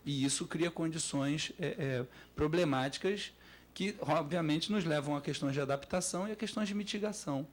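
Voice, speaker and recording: A man with a Brazilian accent speaking off the cuff as an academic panelist, halting and repeating words as he develops his points. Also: a little high. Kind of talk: spontaneous panel discussion.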